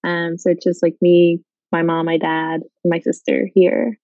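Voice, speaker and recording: A young woman from Guatemala talks easily through an interview, with lots of fillers and quick laughs. The recording is dry and a little muffled. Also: fairly low pitch, little pitch movement, dark tone, no room sound. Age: young adult. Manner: chatty, many fillers, laughs often. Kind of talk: casual interview.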